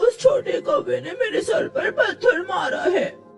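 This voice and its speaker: Cartoon eagle boy played by a woman, covetous crybaby, very high squeaky whine that swoops around. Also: big pitch swings, takes it slowly, vocal fry, distant mic, soft background music, exaggerated voice. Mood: crying, aggrieved. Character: covetous, tearful young male eagle chick.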